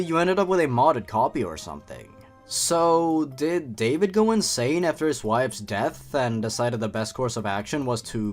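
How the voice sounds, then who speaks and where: A man hosting a review show, giving scripted, opinionated commentary with sarcastic asides. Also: medium pitch. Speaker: host of a horror story review channel. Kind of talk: scripted review commentary with story read aloud.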